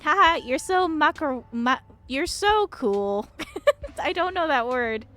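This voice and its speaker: A woman streaming a game with casual, reactive chat, excitable and giggly, stumbling over unfamiliar words. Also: high voice, slow pace, faint music behind.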